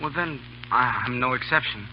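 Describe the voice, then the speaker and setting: An actor in an old radio drama playing an eager, polite, naive young lieutenant, earnest and deferential. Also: male voice, medium pitch, normal speed, a little hiss or hum, natural voice. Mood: uncertain. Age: young adult. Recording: muffled, humming old radio broadcast.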